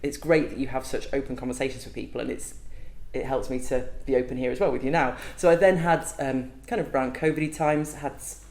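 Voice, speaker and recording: A woman's voice: a bass voice, steady tone, fairly quick, few pauses, darkish tone. A woman guest on a podcast, talking openly and thoughtfully in a casual interview, with frequent ums and restarts. She is not from Wales. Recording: echoey room.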